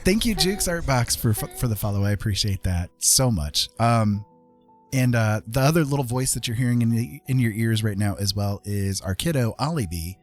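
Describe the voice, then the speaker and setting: A man's voice: mid-range voice, animated, normal speed, faint music behind. A man hosting a casual livestream, chatting in a friendly, rambling way as he paints. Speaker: live art streamer and host.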